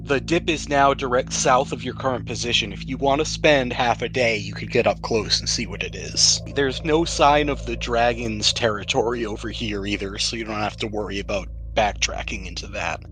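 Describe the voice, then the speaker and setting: A man's voice: mid-range voice, moderate pace, hardly any pauses, background noise. A man hosting and narrating a tabletop roleplaying game, explaining things to players in an easygoing way. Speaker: podcast host and game master. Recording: music bed behind the voice. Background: background music.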